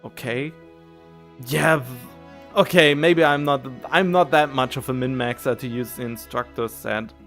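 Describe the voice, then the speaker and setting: A man's voice: medium pitch, fairly even intonation, a little slow, fairly bright tone, faint music behind. A man livestreaming a video game, chatting casually and thinking aloud in a rambling, musing way, often correcting himself.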